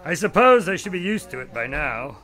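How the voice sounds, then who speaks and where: Radio drama lead: a man plays a courteous, put-upon doctor, narrating in a slightly higher voice. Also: very animated, brisk, fairly bright tone, faint music behind, poor audio. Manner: polite and exasperated. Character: polite, slightly exasperated male doctor narrator.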